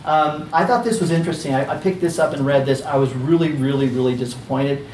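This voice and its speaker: A man's voice: fast talker, darkish tone, slight background noise. A man teaching a casual class, talking in a chatty way with lots of fillers and restarts. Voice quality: squeaky. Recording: roomy, distant, faint ambience.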